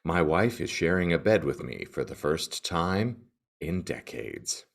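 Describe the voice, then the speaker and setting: A man with an extremely low, gravelly voice hosts a podcast on his own. His delivery swings widely in pitch, with florid, wordy phrasing and a tongue-in-cheek, theatrical touch. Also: animated, a little slow. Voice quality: gravelly morning voice. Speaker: podcast co-host. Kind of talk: solo podcast monologue, comic reading of reviews.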